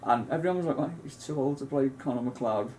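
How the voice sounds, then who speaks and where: A man's voice: medium pitch, quick pace, dark tone. A man, co-hosting a casual film chat, rambling with many restarts and asides. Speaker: co-host.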